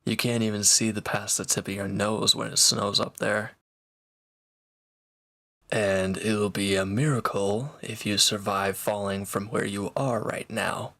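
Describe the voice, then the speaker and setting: A man acts out a sardonic former villain in an intimate audio drama. His voice is fairly deep with a bright, forceful edge. He talks slowly and casually, teasing his listener with dry remarks. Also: fairly even intonation. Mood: dry, matter-of-fact. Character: sardonic, teasing reformed male villain recovering from wounds. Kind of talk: intimate roleplay audio drama, one-sided dialogue.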